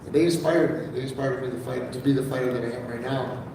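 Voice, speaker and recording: A man's voice: medium pitch, steady tone, quick pace, noisy background. A male boxer answering questions earnestly at a press conference. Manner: earnest, repeats "you know". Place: large event room. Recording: echoey hall picked up from far off.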